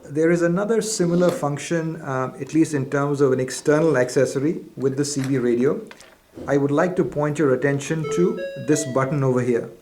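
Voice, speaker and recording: A man's voice: fairly high pitch, brisk, darkish tone, faint music behind. A man presenting a product in an instructional demonstration, explaining methodically step by step.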